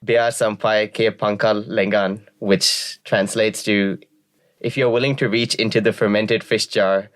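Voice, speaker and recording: A man hosting a podcast, reading a disclaimer and introducing his guest in a friendly, slightly halting way. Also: fairly low pitch, fairly even intonation, a bit bright. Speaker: podcast host.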